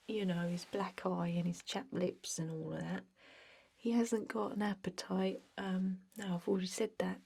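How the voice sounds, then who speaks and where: A woman playing a chatty energy vampire office clerk in an ASMR roleplay, speaking softly and often whispering, with a hesitant, rambling, drily jokey manner. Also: mid-range voice, full character voice. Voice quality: soft, breathy, often whispered. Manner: hesitant, rambling, deadpan workplace small talk.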